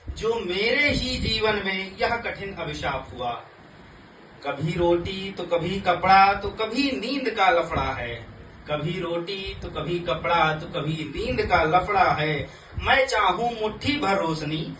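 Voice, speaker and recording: A man with a high, bright voice declaims poetry at a slow pace, leaning on emphatic pauses. The delivery is dramatic and motivational, picked up from a distance in an echoey space. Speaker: poetry reciter. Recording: echoey, distant mic, faint background noise.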